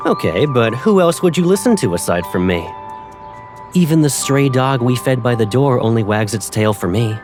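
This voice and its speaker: A man's voice: medium pitch, animated, normal speed, lightly acted. A man playing a young pilot in an audio drama, playful and protective, teasing a childhood friend with affection. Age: young adult. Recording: faint music behind the voice.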